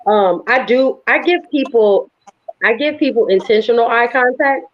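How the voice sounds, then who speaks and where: A woman poet from New Orleans with a local accent, talking in a lively, emphatic, conversational way as an interview guest. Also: on the high side, moderate pace, slight background noise. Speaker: guest, spoken word poet. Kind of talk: casual interview talk. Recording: dull lo-fi audio.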